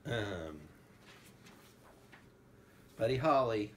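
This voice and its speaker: A man's voice: lowish voice, expressive, drawn-out words, fairly bright tone. A man reading aloud to his young daughter like an enthusiastic, playful father, slipping between storytelling and casual asides. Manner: enthusiastic, playful, bursts into song. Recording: noisy home recording with room ambience.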